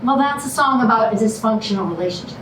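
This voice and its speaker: Woman playing a high-voiced, sarcastic, fed-up girlfriend. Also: expressive, fast talker, a bit bright, a little hiss or hum. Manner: dry, cutting, theatrical. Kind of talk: staged musical comedy reading. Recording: echoey room, distant mic, music behind.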